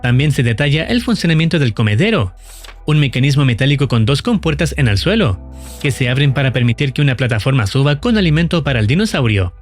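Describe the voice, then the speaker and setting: A male narrator delivers a scripted recap in a mid-pitched voice. His intonation moves a great deal as he explains events step by step. Soft music plays underneath. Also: big pitch swings, fast talker. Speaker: narrator of a horror video channel.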